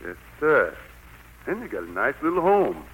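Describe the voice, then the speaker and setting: A man playing the Lord as a gentle but stern, folksy preacher-like figure with a Southern US accent, in 1940s radio drama style. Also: mid-range voice, wildly swooping pitch, normal speed, slight background noise, rough recording. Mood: courteous. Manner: folksy, admonishing. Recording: vintage 1940s radio broadcast.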